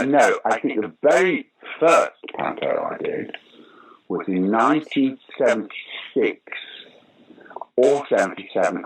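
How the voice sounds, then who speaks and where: An older man, a guest actor and comedian, telling stories in an interview with sudden loud bursts of enthusiasm. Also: lowish voice, slightly rough, poor audio. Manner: animated anecdotal storyteller with sudden loud bursts. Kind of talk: conversational interview storytelling.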